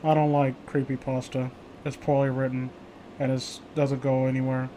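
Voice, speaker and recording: A man doing casual commentary and banter as a streamer reading stories aloud, stammering, exasperated and quick to swear. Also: medium pitch, level tone, dark tone, slight background noise, poor audio. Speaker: streamer reading creepypasta with friends.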